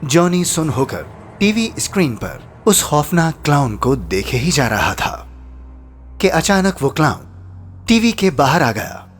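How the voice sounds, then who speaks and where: Lively male horror-story narrator. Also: fairly high pitch, a little slow, a little hiss or hum. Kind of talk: scripted suspenseful narration. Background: background music.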